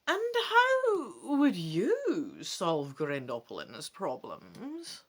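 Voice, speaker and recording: A woman voicing a kindly, shrewd elderly bookseller in a dramatic storybook reading, with deliberate, theatrical delivery and drawn-out words. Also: fairly low pitch, huge pitch swings, breathy edge, heavily acted. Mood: pointed, probing.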